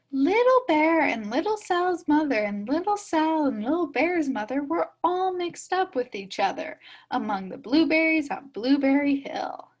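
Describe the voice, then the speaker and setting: A woman reads a storybook aloud in a high, lively voice that swings widely in pitch. She takes her time, enunciating deliberately and playing up the sound words for young listeners. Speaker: storybook reader. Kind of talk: expressive children's story read-aloud.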